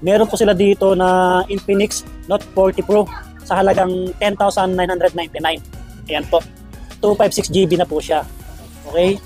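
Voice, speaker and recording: High-pitched, chatty market vlogger man. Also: level tone, normal speed, darkish tone, soft background music. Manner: upbeat, chatty, addresses viewers as friends. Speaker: vlogger, host. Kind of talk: casual shopping vlog narration. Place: busy public market and gadget stalls.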